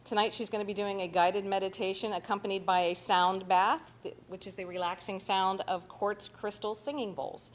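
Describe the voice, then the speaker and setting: A woman's voice: medium pitch, fairly even intonation, normal speed, hardly any pauses. A woman hosting an arts center event, reading a speaker's introduction from notes and paraphrasing with hesitations. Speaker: host. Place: arts center event, live audience and stream.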